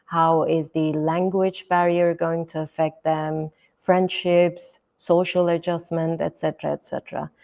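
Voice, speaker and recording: A woman's voice: low-pitched, level tone, unhurried, darkish tone. A middle-aged woman from Turkey, a native Turkish speaker, speaking as a guest in a podcast interview, thoughtful, with many fillers. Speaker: guest educator.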